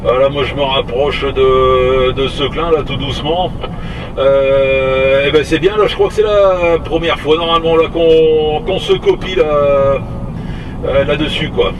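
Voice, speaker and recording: A man's voice: on the high side, measured pace. A man chatting casually as a CB radio operator, in a hesitant, rambling way. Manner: hesitant, rambling, tag words at phrase ends. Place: inside a moving vehicle. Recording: distant mic, steady vehicle noise.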